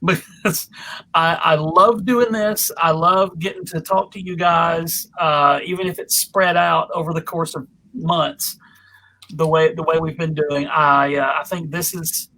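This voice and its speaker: A man's voice: fairly high pitch, fairly even intonation, measured pace, pushed and bright, low-quality recording. A man hosting a casual panel discussion over a video call in a chatty, enthusiastic, informal way. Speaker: panel host and moderator.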